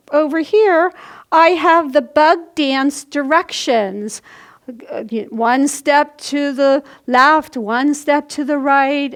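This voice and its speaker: A woman's voice: sits high, a bit bright. A woman hosting a children's storytime, explaining and demonstrating simple actions step by step for young listeners. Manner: slow, explanatory, demonstrating actions as she speaks. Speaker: children's library storytime host.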